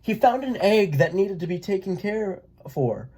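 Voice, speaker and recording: A person reading a children's picture book aloud in a gentle, expressive way. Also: mid-range voice, normal speed, husky. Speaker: read-aloud storyteller.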